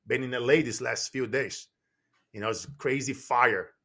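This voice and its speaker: A middle-aged man from Brazil, guesting on a podcast as a business coach. His voice is bright and a little husky, with a forceful, tense edge. He talks emphatically and keeps restarting his sentences. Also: medium pitch, normal speed. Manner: animated, repetitive restarts, emphatic. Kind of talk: casual podcast conversation.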